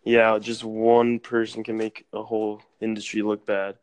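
A man's voice: lowish voice, monotone, moderate pace, clean tone, dry recording. A man hosting a casual podcast, mostly agreeing with short replies and asking questions. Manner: agreeable, brief backchannels.

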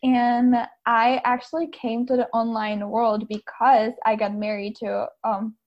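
Bubbly Czech-born woman guest, higher voice, muffled lo-fi sound. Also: little pitch movement, unhurried. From Czech Republic. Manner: bubbly, laughs often, uses like a lot. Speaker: guest, coach. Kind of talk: casual interview chat. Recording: muffled lo-fi audio.

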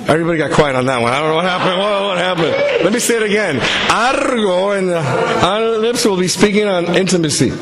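A pastor born in El Salvador hosting a church marriage event, joking with the audience in a halting way full of fillers. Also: male voice, high-pitched, expressive. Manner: jokey, halting, lots of uh fillers. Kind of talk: playful emcee at a church event. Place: large hall with an audience. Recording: echoey hall, loud crowd.

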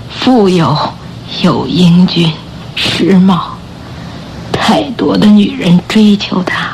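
An actress playing a gentle, sorrowful bedridden mother in a radio drama, breathy, weak and halting. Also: fairly low pitch, slight background noise, low-quality recording. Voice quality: breathy, often whispered. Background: background music.

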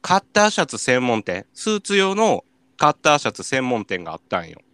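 A young man with a Kansai accent hosting a radio show, telling casual comic stories in an animated way with acted-out reactions. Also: medium pitch, a little fast, dry recording. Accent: Kansai Japanese. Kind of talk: casual comic storytelling chat.

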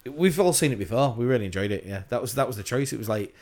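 A man's voice: mid-range voice, expressive, fast, darkish tone. A man in his thirties co-hosting a podcast, talking in an easygoing, rambling way with fillers. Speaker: podcast co-host. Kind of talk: casual chat.

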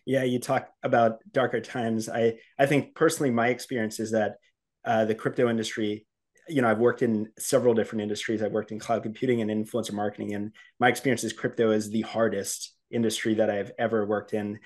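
A man's voice: lowish voice, little pitch movement, brisk, a little mellow. A man interviewing a guest, reflecting on his own work experience before asking his questions.

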